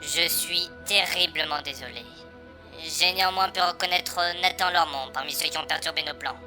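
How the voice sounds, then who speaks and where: An actress playing a mysterious informant who gives orders in a formal, clipped, commanding way in an audio drama. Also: female voice, low-pitched, normal speed, bright, forceful tone, faint music behind. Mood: cool. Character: mysterious informant calling with instructions.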